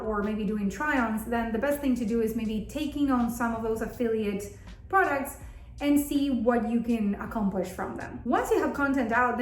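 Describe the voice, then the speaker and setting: A 38-year-old woman from Argentina, a native Spanish speaker, talking casually and candidly to viewers as an upbeat vlog host. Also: fairly high pitch. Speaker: content creator and video host. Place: bathroom at home, indoors. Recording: echoey indoor room.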